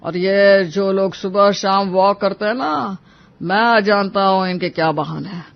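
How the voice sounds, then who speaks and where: A man playing a stern, scolding older father-in-law in a Hindi animated moral story, indignant and domineering. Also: sits high, a little slow, vocal fry. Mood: irritated. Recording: dull, lo-fi audio.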